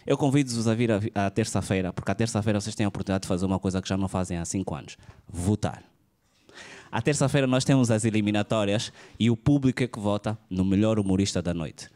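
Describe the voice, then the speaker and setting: A man's voice: on the low side, fast talker, dark tone. A man, presenting acts as host on a comedy club stage. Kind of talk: introducing the next comedian. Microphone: handheld microphone.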